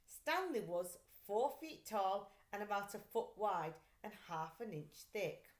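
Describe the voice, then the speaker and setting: A woman reading a children's story aloud like a teacher, in an expressive, deliberate, carefully phrased way. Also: medium pitch. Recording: echoey, roomy sound.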